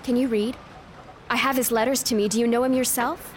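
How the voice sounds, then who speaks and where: An actress playing a young Roman noblewoman in an audio drama, proud and desperate, speaking with sharp emotion. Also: on the high side, fairly even intonation, fairly quick, lightly acted. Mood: bitter, proud. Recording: faint background noise.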